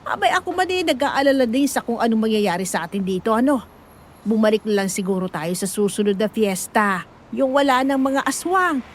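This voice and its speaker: An actress playing a fussy, caring older nanny, anxious and chatty, in an audio horror drama. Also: female voice, on the high side, lively intonation, fairly quick, a little hiss or hum, close to an everyday voice. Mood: worried fussing.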